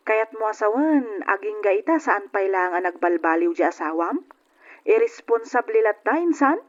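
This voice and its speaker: A woman's voice: mid-range voice, animated, normal speed, natural voice. A woman acting a generous, outspoken cousin in an old radio drama, frank and persuasive in her talk. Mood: indignant. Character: generous, outspoken female cousin back from abroad. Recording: dull lo-fi old radio recording.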